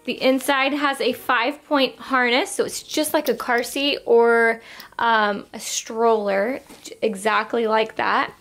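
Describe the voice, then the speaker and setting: A woman's voice: a little high, level tone, measured pace, fairly bright tone, roomy sound, faint music behind. A young woman vlogger chatting casually as she shows off a product at home, full of "like" and "um". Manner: chatty, upbeat, filler-heavy. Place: home room with wooden floor.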